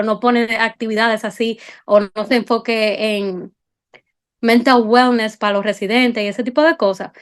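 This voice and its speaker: A young woman with a Dominican accent, an incoming medical resident, explaining step by step in a casual panel chat. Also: fairly high pitch. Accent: Dominican Spanish. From Dominican Republic. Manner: explanatory, step-by-step, many fillers.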